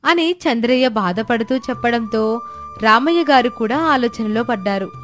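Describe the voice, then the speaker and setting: A woman's voice: on the high side, animated, normal speed, a bit bright, faint music behind. A woman narrator telling a scripted moral story.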